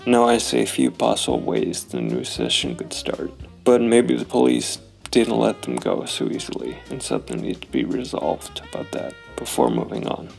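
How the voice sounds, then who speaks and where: A man narrating his solo tabletop role-playing game, thinking aloud in a deliberate, analytical way. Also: low voice, sing-song, creaky, soft background music.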